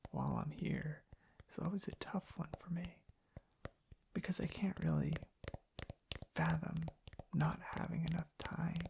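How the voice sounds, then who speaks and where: An ASMR host speaking softly in a hushed, reflective, unhurried ramble. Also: mid-range voice, gravelly, background noise. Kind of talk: soft-spoken ASMR ramble.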